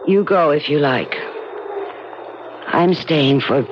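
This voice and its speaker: An actress playing a wounded, bitter wife in a 1970s radio drama, halting and dazed. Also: female voice, low voice. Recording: dull, very noisy old radio broadcast.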